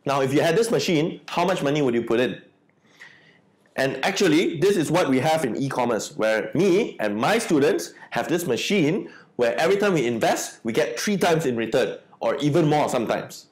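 A man's voice: a little high, expressive, normal speed. A young man with a Malaysian accent giving a promotional explainer as an e-commerce coach, on a lapel mic indoors. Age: young adult. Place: small indoor room.

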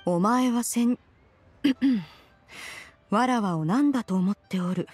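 A woman's voice: fairly high pitch, takes it slowly, a little mellow, husky. A woman voicing a proud, composed female mystic in a Japanese fantasy game, speaking with archaic, authoritative wording. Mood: composed, haughty. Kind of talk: Japanese anime game voice acting.

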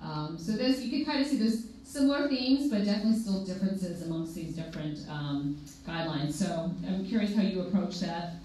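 A woman's voice: fairly low pitch, warm tone, far from the mic. A young woman moderating a conference panel as a clinician, chatty and explanatory. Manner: chatty, explanatory, quick asides. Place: conference room with projector. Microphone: podium mic, filmed from far in the room. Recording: echoey room from afar, faint hiss.

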